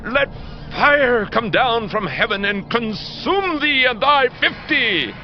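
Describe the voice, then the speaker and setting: A man playing a stern, commanding prophet in a dramatized Bible audiobook, declaiming slowly and solemnly. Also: very high voice, animated, a little hiss or hum, heavily acted. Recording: slightly dull audio with music behind. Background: music.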